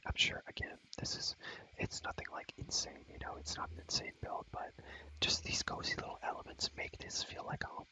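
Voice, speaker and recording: A man whispering a casual, slangy ASMR tour of his game world, rambling and repeating his phrases in a breathy, unvoiced voice. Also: normal speed, sharp tone, poor audio.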